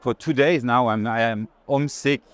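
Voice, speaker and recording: A man's voice: mid-range voice, normal speed, clean tone. A man with a French accent chatting casually on an art fair tour, informal and often searching for words. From France. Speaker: art institution director in conversation.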